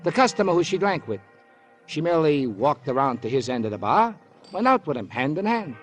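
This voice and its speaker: An actor playing a fussy, self-righteous bar owner in an old radio crime drama, pompous and long-winded, with formal turns of phrase. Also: male voice, on the high side, very animated, a little fast, faint music behind. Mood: confiding. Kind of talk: 1950s American radio crime drama acting.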